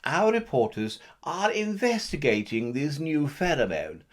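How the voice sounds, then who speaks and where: A woman's voice: mid-range voice, huge pitch swings, slow pace, darkish tone, heavily acted. A woman doing a stiff, pompous news anchor. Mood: formal. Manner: formal newsreader delivery that stumbles over words. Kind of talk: parody news bulletin.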